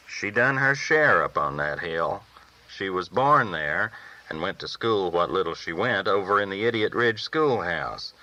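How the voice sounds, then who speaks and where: Low, forceful man reading a folksy novel. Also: highs cut off. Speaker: audiobook reader. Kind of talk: first-person narrated novel reading, folksy storytelling.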